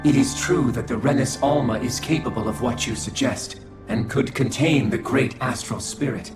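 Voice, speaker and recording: A man voicing a detached alien observer in a video game, formal, measured and emotionless. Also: mid-range voice, lightly acted. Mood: cold, expository. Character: cold, detached alien observer of a fallen species. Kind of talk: scripted video game voice acting. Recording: very roomy echo, music behind.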